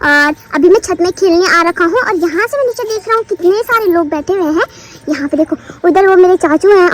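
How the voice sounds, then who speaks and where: Woman putting on a squeaky cheeky baby boy. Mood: chatty. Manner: chatty, teasing, sing-song baby talk. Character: cheeky crawling baby boy narrating his day. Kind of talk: voice-over vlog narration.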